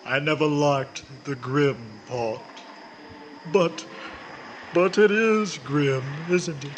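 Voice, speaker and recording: A man's voice: fairly high pitch, slow pace, slight background noise. A man playing a weary, sorrowful Grim Reaper in a radio drama, speaking in mournful, archaic, theatrical phrases. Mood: gloomy, weary. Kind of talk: old-time radio drama acting.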